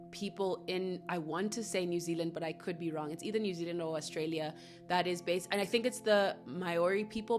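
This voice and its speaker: A woman from Africa, hosting a solo spiritual podcast, earnest and reflective with soft asides. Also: mid-range voice. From South Africa. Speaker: podcast host.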